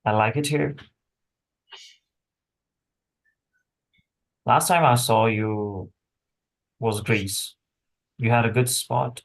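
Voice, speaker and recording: A man acts out a weary assassin in a script read. His voice sits at an ordinary male pitch. He talks conversationally and haltingly, staying wry under threat. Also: creaky, poor audio. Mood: wry, needling. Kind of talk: screenplay table read acting.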